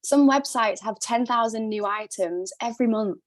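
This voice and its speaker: A woman's voice: a little high, normal speed, dry recording. Fiery, blunt woman stylist, dry mic. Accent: non-native Glaswegian mix. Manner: passionate, blunt, swears freely, laughs often. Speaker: guest, sustainable stylist. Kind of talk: casual podcast interview. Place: not originally from Glasgow, now lives there.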